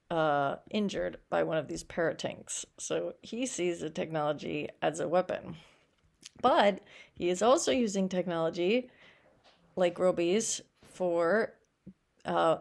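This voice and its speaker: A woman with a creaky, gravelly edge to her voice reads fiction aloud in the way of a teacher. She corrects her own slips and steps out of the narration to explain. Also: on the low side, darkish tone. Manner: stumbles over words and corrects herself. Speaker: teacher reading a short story aloud.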